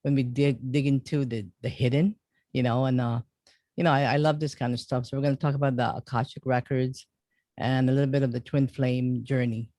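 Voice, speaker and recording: A man hosting an interview show, welcoming a guest and asking questions in a halting, loose way. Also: medium pitch, flat delivery, mellow, no room sound, clean studio sound. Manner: halting, full of fillers and restarts.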